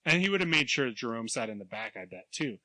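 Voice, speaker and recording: A man in his thirties co-hosting a podcast, telling animated stories, acting out other people's voices and laughing often. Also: medium pitch, fast talker, dry recording. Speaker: podcast co-host. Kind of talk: casual chat, storytelling.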